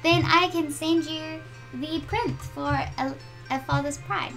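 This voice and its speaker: This is a middle-aged woman with a South African accent, hosting a live drawing session from her studio. Her voice is high and her delivery leisurely, and she reads out viewer comments as she works. Faint music plays behind her. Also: slow pace, a bit bright. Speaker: art channel host. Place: home art studio.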